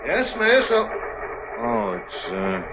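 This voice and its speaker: A weary train conductor, polite on the surface but dripping with dry sarcasm. A muffled old radio recording with a noisy hiss behind him. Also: male voice, medium pitch, huge pitch swings, slow pace. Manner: dry sarcasm, long-suffering sighs. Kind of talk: 1950s radio comedy acting.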